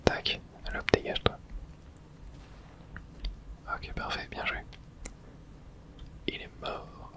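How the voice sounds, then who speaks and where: A woman's voice: takes it slowly, long pauses. Female ASMR streamer, whispered game commentary with casual slang and spoken reactions to play.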